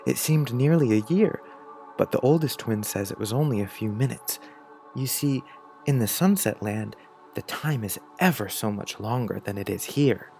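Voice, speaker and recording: A man plays an imaginative young schoolboy in an audiobook drama. He tells his fanciful letter with earnest, wide-eyed wonder at a medium pitch, with soft music behind him. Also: lively intonation, moderate pace, close to an everyday voice. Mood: wonderstruck.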